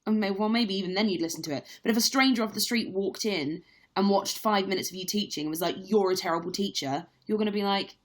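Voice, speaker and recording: A woman's voice: medium pitch, fast talker. A young woman who acts on stage, chatting candidly in a live-stream Q&A with lots of fillers. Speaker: stage actress livestreaming a Q&A. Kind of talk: casual live-stream chat.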